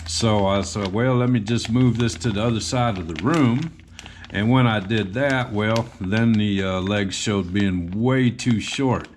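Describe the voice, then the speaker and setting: A man's voice: fairly low pitch, a little slow, a little hiss or hum. A man giving a casual, unscripted show-and-tell as a hobbyist host, speaking in a plain, hesitant way.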